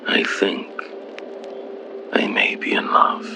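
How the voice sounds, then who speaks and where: An actor playing a humble, lovestruck titan in a fantasy audio drama, speaking with hesitant, breathy earnestness. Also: male voice, takes it slowly, whispered, lightly acted. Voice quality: very deep, breathy, gravelly. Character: gentle, lovestruck male titan, humble and shy.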